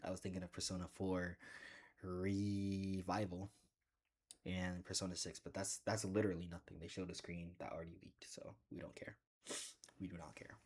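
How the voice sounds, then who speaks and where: A man with a deep voice chatting casually in a gaming vlog, rambling and joking with bursts of excitement. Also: normal speed, husky. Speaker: gaming video creator.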